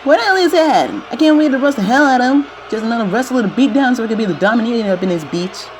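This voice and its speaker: A woman voicing a brash, cocky breakdance fighter who trash-talks in slang in an animated fight show. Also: on the high side, fast, slight background noise, lightly acted. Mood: fired-up. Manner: trash-talking, slangy, swaggering.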